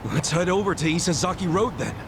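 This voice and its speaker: An actor playing a brash, loyal street-fighting hero in a video game, blunt, casual and earnest. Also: male voice, on the high side, expressive, a little fast, gravelly, heavy background noise. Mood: curious, urgent.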